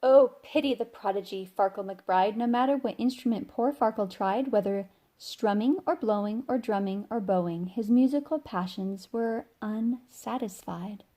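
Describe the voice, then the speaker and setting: Expressive woman reading a rhyming storybook, mellow voice. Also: medium pitch, normal speed, hardly any pauses. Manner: sing-song, expressive storytelling. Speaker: storybook reader. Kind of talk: rhythmic read-aloud of a rhyming children's picture book.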